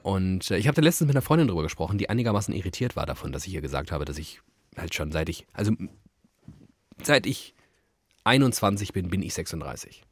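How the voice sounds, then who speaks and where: A man's voice: deep voice, big pitch swings, fast, slight background noise. A thirty-year-old man co-hosting a podcast in casual, rambling, self-ironic banter. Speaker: podcast co-host.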